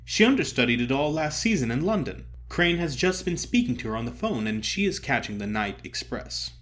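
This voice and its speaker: A man voicing an effusive, theatrical older stage comedian who cajoles and flatters grandly in an audio story. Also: mid-range voice. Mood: elated. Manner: emphatic, drawn-out address, grand pronouncements.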